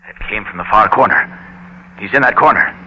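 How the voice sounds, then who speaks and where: A man's voice: on the low side, expressive, a little fast, close to an everyday voice. An actor playing a dogged, resolute policeman in a 1950s radio drama, tense and intent. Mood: wary, muttering. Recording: dull, faintly noisy old AM radio broadcast.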